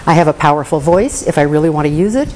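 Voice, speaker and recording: Woman, lowish voice, candid recovery share with faint room noise behind. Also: animated, fast talker, darkish tone. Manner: candid, earnest, self-deprecating humor. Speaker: recovery meeting member.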